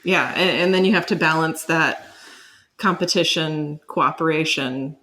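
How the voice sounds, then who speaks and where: A woman's voice: low-pitched, measured pace, pushed and bright. A woman, speaking as an expert guest and explaining ideas conversationally while searching for words.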